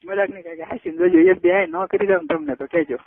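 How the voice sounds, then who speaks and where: A man's voice: on the high side, fast, dry recording. A man in his twenties with a Gujarati accent in a casual private phone chat, speaking in an insistent, coaxing way. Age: 20s. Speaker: caller. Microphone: telephone line. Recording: tinny narrowband phone call recording.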